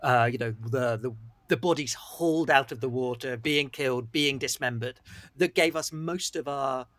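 A man's voice: mid-range voice, normal speed, fairly bright tone, no echo. Forty-ish guy hosting an interview, dry close mic, mid voice, chatty. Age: 40s. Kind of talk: conversational interview.

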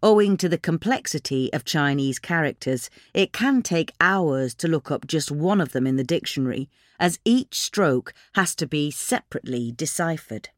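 A woman's voice: low voice, expressive, normal speed, hardly any pauses, no room sound, studio quality. A woman reading a magazine article aloud as scripted narration.

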